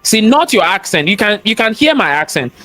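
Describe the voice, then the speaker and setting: A man's voice: high-pitched, big pitch swings, brisk, bright, forceful tone, soft background music. A man with a thick Nigerian accent, a cybersecurity expert talking as a guest in an interview, emphatic and preachy, repeating his points and asking rhetorical questions. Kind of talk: interview conversation, motivational talk.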